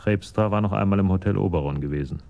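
A man's voice: low-pitched, quick pace, gravelly, dark tone, dull audio. A man giving sober scripted narration as the narrator of a German radio crime story.

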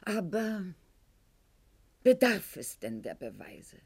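An actress playing a proud, aging aristocratic wife at court in a radio play, speaking formally and precisely, with haughty theatrical declamation. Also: female voice, mid-range voice, wildly swooping pitch, slow, breathy edge, heavily acted. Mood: proud, self-justifying.

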